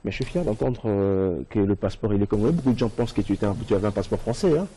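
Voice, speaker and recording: A man presenting a live TV interview, lively and laughing, reading out fan questions. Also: on the low side, rapid-fire, a little hiss or hum.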